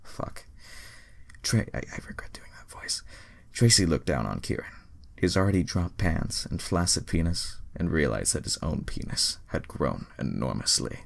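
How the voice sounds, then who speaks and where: A man's voice: rumbling low, very animated, moderate pace, gravelly, whispered. A man reading a story aloud in a mock-dramatic way, casually breaking into profane commentary. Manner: profane, exasperated asides. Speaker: reader and commentator. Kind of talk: mock-dramatic reading of crude fan fiction with casual asides.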